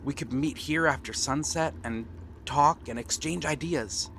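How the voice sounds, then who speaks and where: Slow, earnest young pirate prince played by a man. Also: a little high, gravelly, a little hiss or hum. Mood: eager, hopeful. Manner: earnest, gentle, unhurried talk with pauses. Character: friendly, eager young pirate prince befriending a shy mermaid. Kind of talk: ASMR roleplay acting, one-sided dialogue. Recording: water and ambient sound effects behind. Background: seaside ambience, water sounds.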